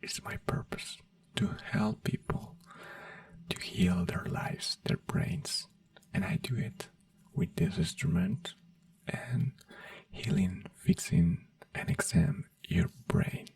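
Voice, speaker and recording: A non-native English speaker in a soft-spoken ASMR doctor roleplay, whispering breathily in a gentle, reassuring manner. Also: medium pitch, sing-song, slow pace, vocal fry, recorded from a distance. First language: not English. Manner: gentle, reassuring personal attention. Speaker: ASMR performer playing a brain doctor.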